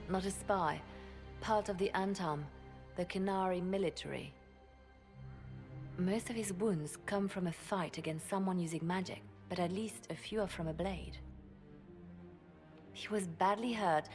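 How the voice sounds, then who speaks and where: An actress voicing a composed woman spymaster who briefs her leader in measured, precise sentences in a game cutscene. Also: mid-range voice, faint music behind, natural voice. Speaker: advisor. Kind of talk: video game cutscene dialogue.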